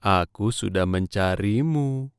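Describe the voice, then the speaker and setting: Low-voiced, confident tortoise played by a man. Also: takes it slowly, smooth, no room sound, clean studio sound. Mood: calm, confident. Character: slow, calm, self-assured tortoise in a children's fable. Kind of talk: children's animated fable dialogue.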